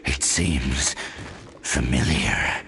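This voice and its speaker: A man playing a menacing monster herald in a video game, with a deep, gravelly voice and a measured, cryptic, portentous delivery. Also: animated, fairly bright tone, a little hiss or hum, heavily acted. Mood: ominous, cold. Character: menacing, slow, ominous male abyssal monster herald. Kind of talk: video game villain acting.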